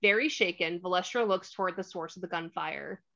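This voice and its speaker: A woman's voice: on the low side, fairly quick, gravelly, sharp tone. A woman reading scripted stage directions as narrator of a screenplay table read, on a slightly dull microphone.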